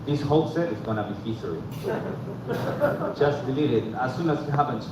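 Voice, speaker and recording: A man from Argentina, doing a deadpan, self-deprecating open-mic stand-up set and talking back to the audience. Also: medium pitch, brisk. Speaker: stand-up comedian. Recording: echoey room from far off, dull, noisy.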